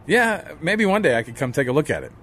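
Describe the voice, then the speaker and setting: A man's voice: a little high, very animated, fairly bright tone, faint music behind. An actor playing a proud, ashamed homeless man in a radio drama, speaking hesitantly. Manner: hesitant, halting. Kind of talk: scripted radio drama acting.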